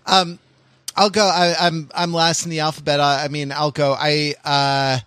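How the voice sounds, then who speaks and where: A man hosting a casual panel podcast, talking in a halting, rambling way and often interrupting himself. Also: on the high side, flat delivery, fairly bright tone, smooth, no echo. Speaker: podcast host.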